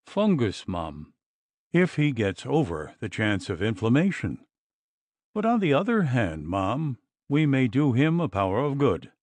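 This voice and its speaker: A man reading an audiobook, voicing a gruff, brusque old country doctor. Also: mid-range voice, sing-song, a little slow, a little mellow, no echo.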